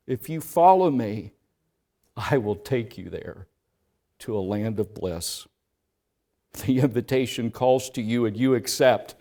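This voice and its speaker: A male pastor preaching a sermon, telling a story in the second person and swelling from hushed passages to loud ones. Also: mid-range voice.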